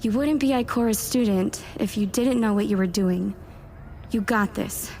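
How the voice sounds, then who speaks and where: A woman's voice: medium pitch, moderate pace, darkish tone, slight background noise, lightly acted. A woman playing an eager, self-doubting warlock scholar in an audio drama, chatty and wry as she narrates her own thoughts. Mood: anxious. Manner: wry, chatty inner monologue with muttered self-talk. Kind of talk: first-person audio drama narration and dialogue.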